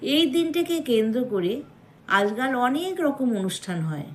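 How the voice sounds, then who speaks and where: A woman hosts an explainer in a fairly high voice. Her pace is very slow and measured. She sets out each phrase separately, pausing before the next. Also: sing-song, a little hiss or hum. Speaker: video host. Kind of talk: explanatory monologue about a festival.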